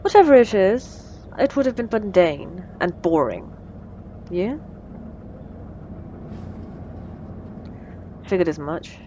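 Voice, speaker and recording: A woman's voice: lowish voice, sing-song, a little slow, warm tone, lo-fi sound, a little hiss or hum. A woman playing a wry, menacing supervillain in a scripted audio roleplay, teasing and condescending, with cool threats. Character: wry, menacing female supervillain mentoring a captive assistant.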